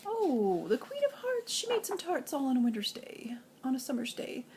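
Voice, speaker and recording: An older woman, a grandmother, reading nursery rhymes and children's poems aloud in an expressive, playful sing-song way. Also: high-pitched, moderate pace, a little hiss or hum.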